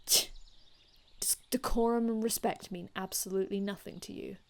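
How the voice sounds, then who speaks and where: A woman playing an aloof, formal male vampire healer from another time in an ASMR roleplay, speaking in a measured, haughty, hushed manner with archaic phrasing. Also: medium pitch, fairly even intonation, close to an everyday voice. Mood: offended. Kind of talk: ASMR roleplay, scripted in-character monologue.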